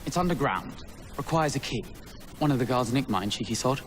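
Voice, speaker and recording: Actor as a jaunty, swooping London rogue. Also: male voice, mid-range voice, animated, a little fast, close to an everyday voice. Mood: bargaining. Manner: theatrical, wheedling, mock-polite. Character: cheeky, jaunty Victorian carnival rogue, unflappable charmer. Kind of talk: video game cutscene acting. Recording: noisy game scene ambience.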